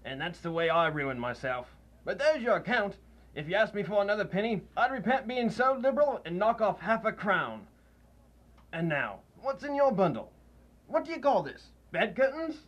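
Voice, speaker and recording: An actor playing a sly, greedy pawnbroker in a radio drama, wheedling in rough colloquial talk. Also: male voice, high voice, sing-song, moderate pace, fairly bright tone, muffled recording, heavily acted. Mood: sly, nosy.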